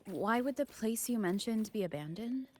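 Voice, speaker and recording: A woman's voice: a little high, animated, moderate pace, a little mellow, slight background noise, close to an everyday voice. A woman playing a reserved, serious female wanderer in a video game, speaking in terse, restrained lines. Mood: quiet, focused. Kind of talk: scripted video game dialogue.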